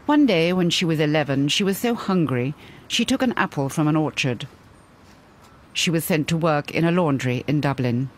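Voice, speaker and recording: A woman reporter giving steady, measured documentary narration. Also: low voice.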